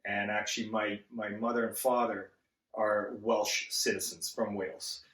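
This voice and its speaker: A man with a fairly low voice answers interview questions, often restarting and stumbling over his words as he speaks earnestly. He sounds set back from the microphone. The room around him is roomy and echoing. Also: level tone, fairly bright tone, far from the mic. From Zambia. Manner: halting, many restarts and fillers, earnest. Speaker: guest. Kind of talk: casual interview.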